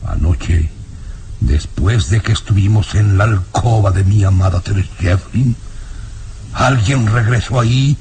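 An actor playing an irascible old baron in a vintage radio serial, speaking formally and emphatically with indignant menace. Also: male voice, on the low side, unhurried, a little mellow, a little hiss or hum. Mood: furious. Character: irascible, imperious old baron, nervous castle lord. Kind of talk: vintage Spanish-language radio serial acting.

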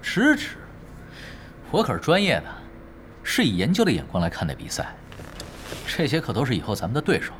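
An actor playing a laid-back, cocky veteran pro gamer in an audio drama, wry and self-assured. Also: male voice, medium pitch, wildly swooping pitch, brisk, long pauses, fairly bright tone, a little hiss or hum. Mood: smug.

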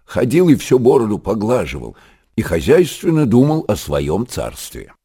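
An actor playing the storyteller of an old monastery tale in a radio drama, narrating in an expressive literary manner. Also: male voice, fairly low pitch, normal speed, husky, heavily acted. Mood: measured storytelling.

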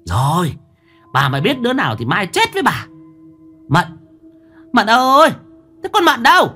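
A man's voice: high-pitched, very animated, fairly quick, a bit bright, soft background music, voice pushed up, heavily acted. A man voicing a fierce, cruel older woman who barks threats at her household. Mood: furious. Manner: scolding, barking threats. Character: fierce shrill older woman, bullying mistress.

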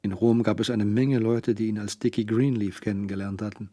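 Crime radio drama narrator, male actor, low and husky, measured, wry, quietly scornful. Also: flat delivery, dry recording, close to an everyday voice. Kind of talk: literary radio play narration.

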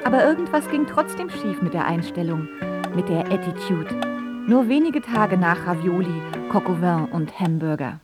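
An actress playing a wry, guarded grown daughter in a German radio drama, telling of her father in a clipped, deadpan way. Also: on the low side, moderate pace, over loud music, close to an everyday voice. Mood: wry narrating.

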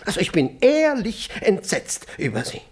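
An older department chief in a radio comedy, pompous and easily alarmed. His pitch swoops widely as he halts, repeats himself and fusses over proper form. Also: wildly swooping pitch, moderate pace, slightly rough, exaggerated voice. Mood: indignant, alarmed. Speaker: actor in a radio play. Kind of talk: German radio comedy acting.

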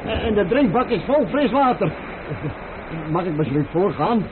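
High-voiced, fawning, nervous innkeeper. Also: male voice, sing-song, normal speed. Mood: eager, fawning. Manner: effusive, obsequious, nervous. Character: fawning, anxious male country innkeeper, wordy and servile. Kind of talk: radio play acting. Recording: muffled, noisy old radio recording.

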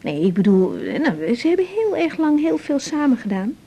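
A woman's voice: high-pitched, sing-song, normal speed, mellow. A Dutch actress playing an anxious, affectionate young woman doctor in a naturalistic radio drama, hesitant and searching for words. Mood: troubled, confiding. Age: young adult.

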